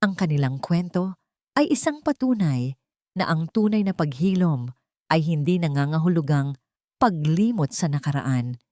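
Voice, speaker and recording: Female story narrator, low register, earnest and measured, scripted sentimental read, very dry sound. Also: mellow, no room sound.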